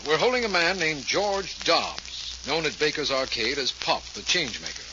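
An actor playing an earnest, authoritative district attorney, formal and clipped, in 1940s radio drama style. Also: male voice, on the high side, very animated, moderate pace. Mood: official. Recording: noisy, muffled 1940s radio recording.

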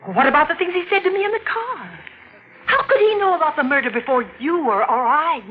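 Tense widow in radio mystery. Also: female voice, high voice, big pitch swings, fairly quick, noisy background. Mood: insistent. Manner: terse, earnest, dramatic. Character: tense, weary widow recounting and confronting her suspect. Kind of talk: 1940s radio mystery acting. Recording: dull old radio recording, music behind.

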